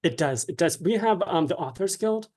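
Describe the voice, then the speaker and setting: A man giving informal, instructional answers as an interview guest, explaining things in a hesitant, measured way. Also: high voice, animated, darkish tone. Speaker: guest writer being interviewed.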